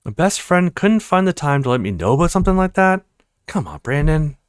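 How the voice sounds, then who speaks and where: A man's voice: fairly high pitch, sing-song, fairly quick, smooth, dry recording, clean studio sound. A man voicing a petite young woman in a visual novel, earnest, insecure and blunt in her complaints. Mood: frustrated, hurt.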